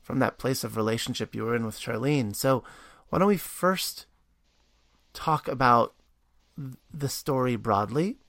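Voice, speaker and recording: A man's voice: mid-range voice, unhurried, long pauses, a bit bright, no room sound. A man hosting a podcast interview, speaking earnestly and often repeating words as he searches for them. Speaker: podcast host.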